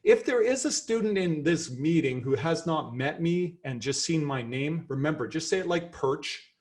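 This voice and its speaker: A middle-aged Canadian man with a Canadian accent giving a lively, chatty seminar lecture as a professor, enthusiastic and self-deprecating. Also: on the high side, normal speed.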